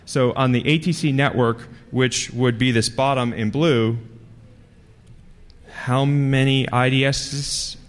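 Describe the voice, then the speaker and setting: A man giving an informal technical talk as a conference speaker, with a halting, rambling, conversational delivery. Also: medium pitch, steady tone. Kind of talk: informal technical presentation to an audience. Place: large conference hall, speaking to a live audience. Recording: reverberant hall sound.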